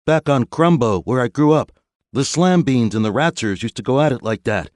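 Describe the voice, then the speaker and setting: A man's voice: mid-range voice, expressive, moderate pace, no room sound, clean studio sound, voice pushed up, natural voice. A man voicing an eager, deferential young male space legionnaire in an audiobook.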